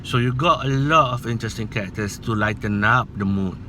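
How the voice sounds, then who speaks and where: A man's voice: mid-range voice, a little fast, noisy background. A man with a Malaysian accent, whose first language is Malay, giving his opinion in a casual movie vlog in an earnest, rambling, hesitant way. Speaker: movie vlogger.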